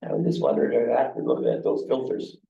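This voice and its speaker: A hoarse man speaking haltingly at a council meeting. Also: lowish voice, huge pitch swings, mellow. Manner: halting, searching for words. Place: council chamber. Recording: dull echoey chamber from far off.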